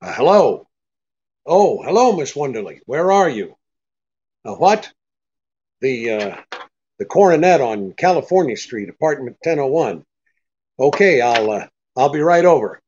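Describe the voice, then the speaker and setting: An actor playing a shrewd, cool private detective in an old-time radio drama, wry and blunt, hesitating with the odd uh. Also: male voice, medium pitch, big pitch swings, measured pace, long pauses, a little mellow, a little hiss or hum. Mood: businesslike. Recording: dull, lo-fi audio.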